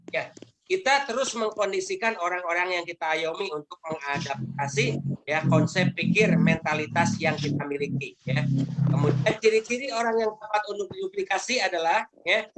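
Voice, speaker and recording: A woman with a somewhat low voice leads a motivational sales training over a video call. She lectures emphatically and throws rhetorical questions at her listeners. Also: fairly bright tone, slight background noise, low-quality recording. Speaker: network marketing trainer.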